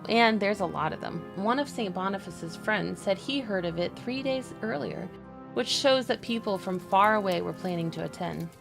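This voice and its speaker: A woman's voice: mid-range voice, normal speed, vocal fry, music in the background. A woman narrating a scripted story for a family audio drama.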